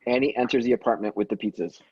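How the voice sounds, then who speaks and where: A man narrating stage directions at a table read, in dull low-fidelity sound. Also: steady tone, fast, noisy background. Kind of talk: reading screenplay directions aloud.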